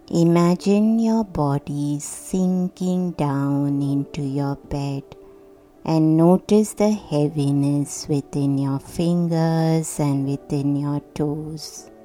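A woman guiding a sleep meditation in a soothing, hushed, deliberate voice with long pauses. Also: low-pitched. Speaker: meditation guide. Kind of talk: guided sleep meditation, scripted narration. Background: soft meditation music.

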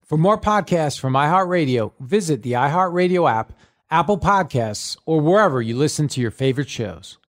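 Male podcast host with a faint Long Island accent, laid-back and chatty, a little higher voice, dry mic. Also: moderate pace, no room sound. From Long Island, New York. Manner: relaxed, repeats words, laughs easily. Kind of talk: casual sports podcast chat. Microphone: studio mic.

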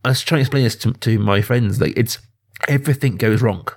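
A man's voice: medium pitch, a little fast. A man hosting a conversational film discussion, often rephrasing as he searches for the right words. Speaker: film podcast host.

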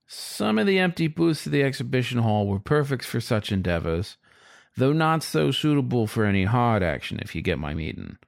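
A man's voice: medium pitch, very animated, normal speed, gravelly, no room sound, clean studio sound. A man voicing a cheeky, lustful young male fox who tells his own story in a chatty, wry, confiding way, as in an audiobook. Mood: playful, confiding. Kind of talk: first-person audiobook storytelling.

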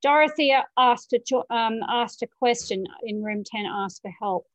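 Slow, hesitant female co-host on lo-fi, dry call audio. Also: fairly high pitch, no echo. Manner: hesitant, full of ums while multitasking. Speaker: co-host managing breakout rooms. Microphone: video call.

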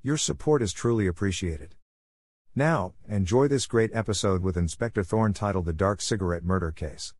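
Casting: male podcast presenter, deep voice, dry studio sound, scripted intro read. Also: normal speed, darkish tone, no room sound. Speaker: podcast host.

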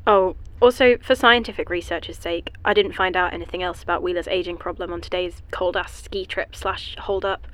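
An actress playing a shaken, self-deprecating scientist in an audio drama, speaking haltingly with dry, rambling humor. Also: female voice, lowish voice, fairly even intonation, fairly bright tone, natural voice. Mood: wry, tired. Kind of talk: audio drama acting, diary monologue.

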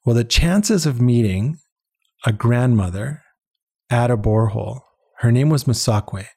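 A man's voice: mid-range voice, animated, unhurried, creaky, darkish tone. A man, a guest in an interview, telling personal stories in a conversational, earnest and reflective way, repeating words for emphasis. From born in Uganda, later lived in Canada. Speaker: interview guest, head of an international eye-care charity.

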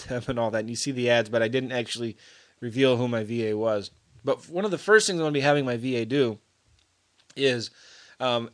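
A man's voice: mid-range voice, a bit bright. A middle-aged man talking casually to camera as a real estate investor, rambling with many fillers and restarts. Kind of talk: casual video update.

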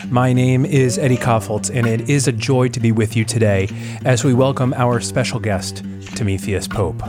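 A man's voice: medium pitch, fairly even intonation, normal speed, over music. A man hosting an interview podcast, reading an earnest introduction and then chatting with his guest. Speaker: podcast host.

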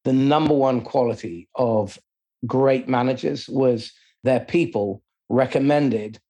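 Husky-voiced male interviewer. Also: medium pitch, slow, mellow. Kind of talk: conversational interview, explaining and questioning.

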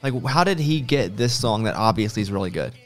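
A man's voice: lowish voice, expressive, brisk, soft background music. A man hosting a podcast, chatting casually and acting out little imagined quotes as he talks. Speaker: podcast host. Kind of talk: casual interview chat.

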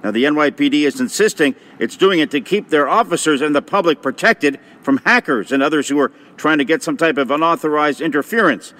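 A man's voice: a little high, brisk, few pauses, a bit bright. A man with an American accent giving a scripted report as a radio news reporter.

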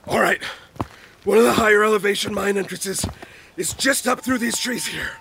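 An actor playing a gruff, guilt-ridden middle-aged small-town American man in an audio drama, husky-voiced, blunt and folksy. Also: high-pitched, normal speed, bright, forceful tone, a little hiss or hum, exaggerated voice. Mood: urgent. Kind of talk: naturalistic audio drama acting.

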